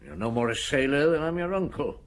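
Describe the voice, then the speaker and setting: An actor playing a sceptical, guarded police interrogator in an audio drama, firing short questions. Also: male voice, a little high, lively intonation, brisk, natural voice.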